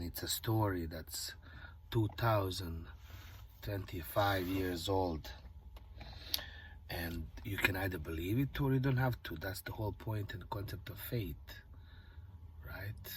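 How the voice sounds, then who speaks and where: Deep-voiced Bosnian-born man musing softly. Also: measured pace, long pauses, slight background noise. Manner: musing, soft-spoken, drifts into near-whispers. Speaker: vlogger. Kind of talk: casual reflective monologue. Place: parked car.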